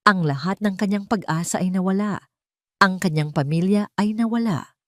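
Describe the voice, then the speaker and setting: A woman's voice: mid-range voice, normal speed, no room sound, clean studio sound. A woman narrating a scripted, melodramatic love story. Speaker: audio drama narrator.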